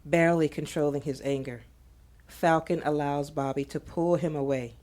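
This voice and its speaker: A woman reading scripted audio drama narration in a measured, descriptive manner. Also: deep voice, steady tone, a little mellow.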